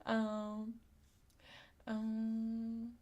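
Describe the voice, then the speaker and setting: A woman's voice: fairly high pitch, completely flat delivery, very slow, dark tone, highs cut off. A young woman from San Diego with a lisp, chatting casually on a live stream, reading chat comments aloud and laughing often. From San Diego, USA.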